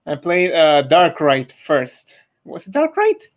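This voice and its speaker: A man's voice: on the high side, huge pitch swings, slow. A young adult man talking casually as a podcast panel member, with hesitant, stop-start phrasing. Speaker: podcast panel member, amateur fan dub voice actor. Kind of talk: casual group podcast chat.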